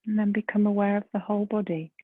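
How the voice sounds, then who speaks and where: A woman leading a guided meditation in a gentle, soft, breathy voice, unhurried, with long pauses between phrases. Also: mid-range voice, fairly even intonation, no echo. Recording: muffled phone-like lo-fi sound.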